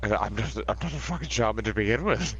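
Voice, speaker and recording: A low-voiced man at the game table who cuts in with blunt, profane quips. A faint hiss hangs under his voice. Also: expressive, quick pace, a bit bright. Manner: blunt, sweary, wisecracking interjections. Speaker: player. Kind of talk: casual tabletop game banter.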